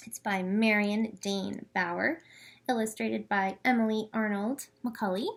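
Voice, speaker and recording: A woman hosting a children's library storytime, speaking in simple, expressive phrases and addressing young listeners directly as she reads and chats. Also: medium pitch, unhurried.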